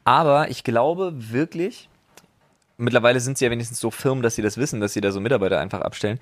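A man's voice: medium pitch, quick pace, no room sound. A man in his thirties co-hosting a casual podcast chat, skeptical and teasing in an informal way. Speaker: podcast co-host.

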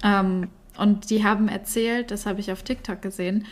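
A woman's voice: medium pitch, fairly even intonation, normal speed. A woman co-hosting a casual chat podcast, chatty with lots of fillers and frequent laughter, a bit tired. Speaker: podcast co-host.

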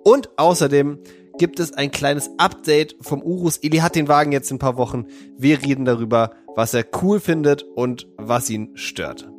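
A man with a cold who hosts a podcast, introducing the episode and asking relaxed follow-up questions. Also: fairly high pitch, moderate pace, faint music behind. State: has a cold. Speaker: podcast host. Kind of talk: casual podcast chat.

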